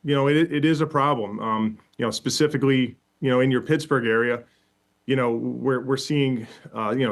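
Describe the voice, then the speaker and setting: An American man answers as a union official in an interview. His pitch is middling and he talks haltingly, padding sentences with frequent fillers. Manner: halting, filler-heavy delivery.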